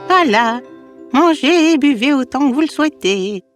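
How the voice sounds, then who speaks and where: A woman's voice: high-pitched, lively intonation, normal speed, soft background music. A woman playing a sly old fairy tale witch who lures children with falsely sweet, theatrical words. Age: older. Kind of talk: fairy tale cartoon voice acting.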